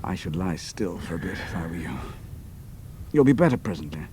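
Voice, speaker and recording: An actor playing a loyal, cool-headed British pilot hero in an audio drama, with a firm, clipped manner. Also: male voice, deep voice, sing-song, brisk, warm tone, a little hiss or hum. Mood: reassuring, stubborn.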